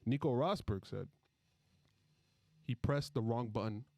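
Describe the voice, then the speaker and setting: A man's voice: mid-range voice, measured pace, vocal fry, darkish tone, dry recording. A man hosting a casual sports podcast with friends, talking in a playful, bantering way. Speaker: podcast host.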